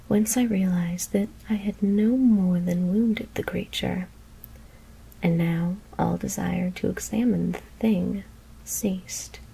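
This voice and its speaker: A woman whispers a scary story in a hushed, deliberate ASMR narration. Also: lowish voice, creaky, a little hiss or hum, rough recording.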